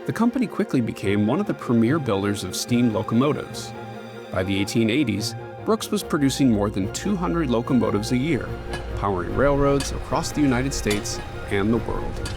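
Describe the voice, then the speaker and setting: Male narrator, measured scripted read, music bed. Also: medium pitch, expressive. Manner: measured, formal delivery.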